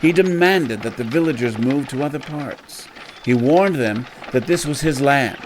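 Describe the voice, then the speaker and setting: A man acting as a male tour guide in a horror audio drama. He tells grim local history in a measured, grave storyteller's way, over faint outdoor noise. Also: medium pitch, lively intonation, lightly acted. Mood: matter-of-fact.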